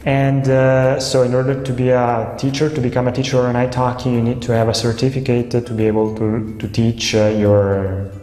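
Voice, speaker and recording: A man's voice: mid-range voice, little pitch movement, moderate pace, hardly any pauses, warm tone. A young Italian man talking casually to camera about tutoring, with many hesitant fillers. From Italy. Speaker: online language tutor. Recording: echoey room with long reverb.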